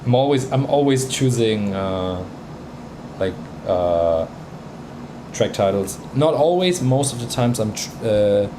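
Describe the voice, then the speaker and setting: Male streamer, native Bosnian speaker, casual and hesitant, slow delivery, noticeable hum behind him. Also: lowish voice, lively intonation. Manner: hesitant, many fillers and restarts. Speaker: livestream host reviewing music tracks. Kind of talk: casual livestream chat.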